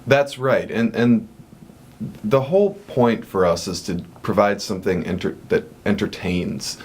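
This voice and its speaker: A young man, the director of a performance troupe, answering radio interview questions earnestly with many pauses and fillers. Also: fairly low pitch, darkish tone, breathy edge.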